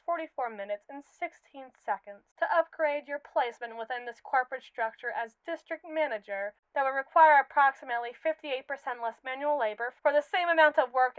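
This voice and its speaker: A woman's voice: high-pitched, clear, resonant tone, no room sound. A very dry, close and slightly muffled recording of an evil female robot acted by a woman in an ASMR roleplay. She is imperious and possessive, with a clean, silky tone. Every word is articulated with sardonic condescension, as befits a would-be ruler of humanity. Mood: cool, precise. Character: haughty, possessive female evil robot with world-domination ambitions. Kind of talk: scripted ASMR roleplay monologue.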